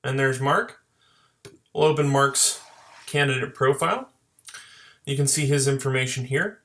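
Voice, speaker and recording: A man narrating an instructional screen tutorial as a software trainer, explaining plainly step by step. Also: medium pitch, measured pace. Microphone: voice-over for screen recording.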